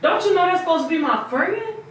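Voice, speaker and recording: A woman's voice: extremely high pitch, lively intonation, fairly quick. A woman playing a fed-up partner who talks back, indignant and sarcastic, in a stage drama. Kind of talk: amateur stage drama dialogue. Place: small living room, far camera. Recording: noisy echoey room, distant mic.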